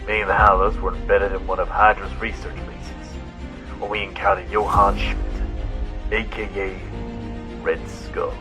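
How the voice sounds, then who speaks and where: A man's voice: lowish voice, flat delivery, normal speed, over music, lightly acted. A man playing a brash army sergeant in a comic-book war audio drama, talking like a military log. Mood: clipped, reporting. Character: gruff, cocky male army sergeant reporting missions.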